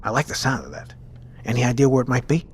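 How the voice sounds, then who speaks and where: A man's voice: mid-range voice, sing-song, fast talker, a little hiss or hum, lightly acted. An actor playing a decisive male squad leader on a scouting mission, speaking in a clipped, commanding way in an audio drama. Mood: assessing. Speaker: team commander.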